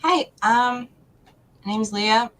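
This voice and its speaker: A woman, answering casually and haltingly as a talk show guest. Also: on the high side, fairly bright tone. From Poland. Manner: hesitant, halting.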